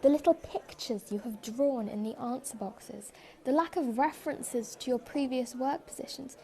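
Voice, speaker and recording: A woman's voice: sits high, moderate pace, warm tone. A woman playing a stern, exasperated department store boss in a fan audio drama, formal and condescending.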